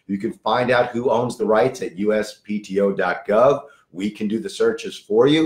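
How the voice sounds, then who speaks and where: A man in his fifties with a hoarse voice, a lawyer giving a direct news briefing and repeating key points for emphasis. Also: fairly low pitch, echoey room. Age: 50s. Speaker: lawyer for online sellers.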